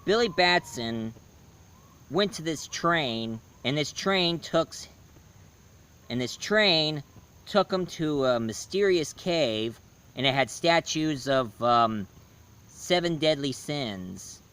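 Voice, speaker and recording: Slow-talking, hoarse male reviewer. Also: fairly high pitch, expressive, fairly bright tone. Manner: rambling, corrects himself mid-sentence. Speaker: movie and comics reviewer. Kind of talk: casual spoken review.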